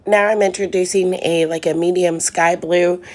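A middle-aged woman narrating her furniture painting in an unhurried, thoughtful way, with frequent ums and restarts. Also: on the low side, fairly even intonation, a little mellow. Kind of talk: relaxed voice-over narration.

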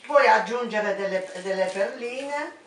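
A woman's voice: fairly high pitch, slight background noise. An older woman with an Abruzzo Italian accent, a home cook explaining a recipe step by step in her kitchen. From Italy. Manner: slow step-by-step instructions. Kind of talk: cooking demonstration. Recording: echoey kitchen, distant mic.

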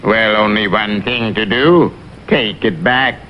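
Slow, pompous cartoon advisor. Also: male voice, fairly low pitch. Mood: smug. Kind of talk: 1960s TV cartoon voice acting. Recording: dull vintage TV audio, faint noise.

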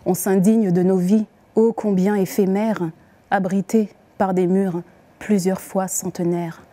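A woman reciting poems as the poet herself, with deliberate, expressive phrasing and pauses. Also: medium pitch. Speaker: poet reading her own poems. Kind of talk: poetry recitation.

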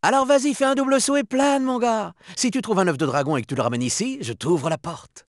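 High, lively cocky cheetah sidekick. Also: male voice, a little fast, no room sound, studio quality. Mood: upbeat, helpful. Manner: breezy, boastful, chummy. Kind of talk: video game character voice acting in French.

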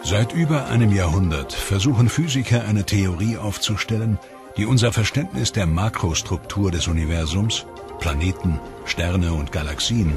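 A man narrating a science documentary in a measured, dramatic scripted delivery. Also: on the low side. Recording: studio voice-over with music behind. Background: clear background music.

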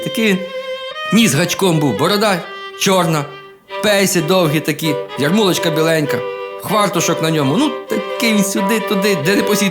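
A man telling a humorous folk tale in a colloquial, expressive, folksy manner. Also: high-pitched. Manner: colloquial, expressive, with chuckles and exclamations. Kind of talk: folksy humorous oral storytelling. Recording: music behind the voice.

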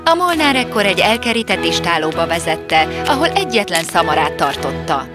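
Expressive woman narrating a fairy tale. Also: on the low side, over loud music. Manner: expressive, storytelling lilt. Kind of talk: scripted storybook narration.

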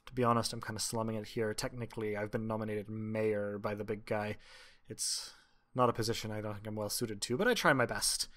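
A man's voice: lowish voice, fairly even intonation, fairly quick, fairly bright tone, natural voice. A man voicing a cheerful, gracious female tavern singer with a breezy, folksy manner in a tabletop game. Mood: self-deprecating.

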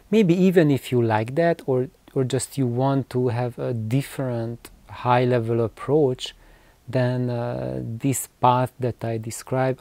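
A man in his forties with a mellow voice talks slowly to the camera. He explains in a halting, unscripted way, repeating words as he goes. The sound is dry, in a small indoor room. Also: mid-range voice, no room sound. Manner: halting, hesitant phrasing with repetitions. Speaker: video host. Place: small cluttered room with shelves of records, indoors.

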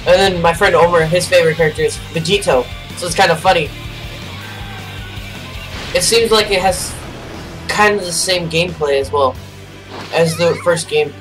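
A man's voice: fairly high pitch, moderate pace, pauses often, distant mic. A young adult man giving casual live reaction commentary as a video host. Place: small bedroom, indoors. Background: trailer music.

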